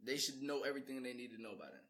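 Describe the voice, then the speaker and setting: An eighteen-year-old man hosting a podcast in casual chat, excitable and jokey. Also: medium pitch. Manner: excitable, jokey, quick to laugh. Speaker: podcast host.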